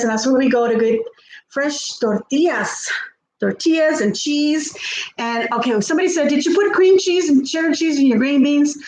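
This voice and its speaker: A woman's voice: high voice, darkish tone, slight background noise. Chatty Texan woman in an echoey kitchen. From South Texas, USA. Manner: rambling, friendly, often laughs and trails off. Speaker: cooking vlogger on a livestream. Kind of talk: chatty live cooking stream, reading viewer comments. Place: home kitchen.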